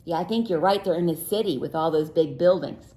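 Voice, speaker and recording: A woman teacher reading a picture book aloud to young children, pausing to ask them questions in a playful, sing-song way. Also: deep voice, warm tone. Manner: slow and deliberate, pausing for answers.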